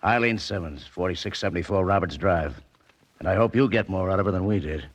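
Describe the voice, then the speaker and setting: A man's voice: low voice, brisk, natural voice. An actor playing a guarded, hard-bitten policeman in a 1940s radio crime drama, curt and challenging as he gives grudging warnings. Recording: noisy vintage radio recording.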